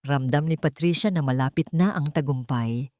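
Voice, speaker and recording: A woman's voice: deep voice, moderate pace, warm tone, no room sound, studio quality. A woman narrating a scripted romantic drama with emotive, melodramatic storytelling. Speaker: narrator of audio love stories.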